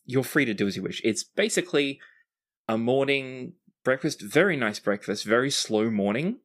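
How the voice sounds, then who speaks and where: A man acts as game master for a tabletop roleplaying game. His voice sits at an ordinary pitch for a man, and he talks conversationally as he lays out options for the players. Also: animated, moderate pace, a bit bright.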